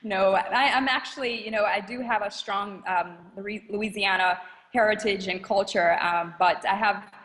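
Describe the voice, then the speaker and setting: A woman's voice: mid-range voice, normal speed, a bit bright, in a large hall. A woman from New Orleans, a dentist being interviewed, who talks in a friendly way and laughs easily. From New Orleans, USA. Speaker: dentist guest. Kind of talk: casual interview.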